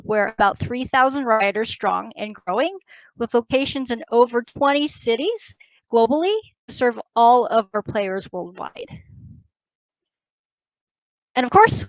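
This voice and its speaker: Bright-voiced woman presenting a corporate keynote, dry close sound. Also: on the high side, dry recording, dull audio. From United States and Taiwan. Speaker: company HR executive presenting a keynote. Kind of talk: prepared conference presentation.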